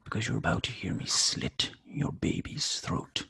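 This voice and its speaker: Menacing male kidnapper, played by an actor, very raspy and creaky, controlled orders and threats, screenplay read. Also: fairly low pitch, big pitch swings, moderate pace, sharp tone, heavily acted. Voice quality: husky, breathy, gravelly.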